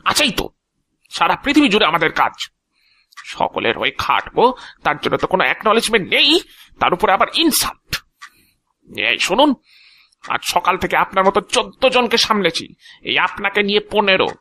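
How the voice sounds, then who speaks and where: A man's voice: high voice, very animated, moderate pace, long pauses, pushed and bright, full character voice. A man playing a touchy, self-important agent of Death in an audio drama, with a theatrical, indignant manner. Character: touchy, officious agent of Death, proud of his job.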